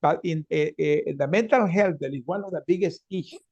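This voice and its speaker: Gravelly male host. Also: a little high, a little mellow, no echo, lo-fi sound. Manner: halting, repeats words, laughs easily. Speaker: show host. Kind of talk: conversational interview.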